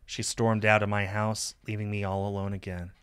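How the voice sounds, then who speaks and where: A man's voice: lowish voice, level tone, moderate pace, a bit bright, smooth, no room sound, studio quality. A man reading a visual novel aloud on a stream as dramatic story narration.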